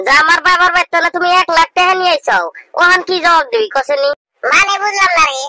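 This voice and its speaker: A man doing a squeaky village guy. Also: quick pace, full character voice. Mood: bantering. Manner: teasing, rough, crude village banter. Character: young village man bantering with his friend. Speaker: voice actor. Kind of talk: comedic cartoon dialogue. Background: loud music.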